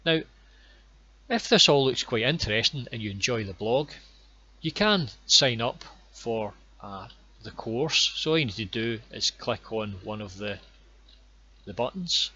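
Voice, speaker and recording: A Scottish man guiding viewers through his online course with plain, unhurried explanations and a few ums and repeated words. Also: mid-range voice, expressive, sharp tone, highs cut off. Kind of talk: screen-recorded course walkthrough.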